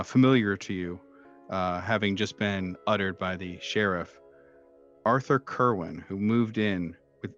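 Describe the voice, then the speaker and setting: A man with a low voice runs a tabletop horror game as its game master. He narrates off the cuff, hesitating often as he feels his way through each sentence. The sound is a bit dull and lo-fi, with faint music underneath. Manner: halting, hesitant delivery with frequent long hesitations. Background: background music.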